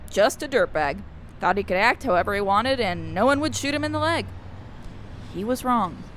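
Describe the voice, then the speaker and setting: A woman's voice: medium pitch, expressive, normal speed, a bit bright, a little hiss or hum, close to an everyday voice. A woman playing a blunt, wry shipboard snoop with a kind streak, speaking dryly in a sci-fi radio drama. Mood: dry, consoling. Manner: dry, sighing, matter-of-fact.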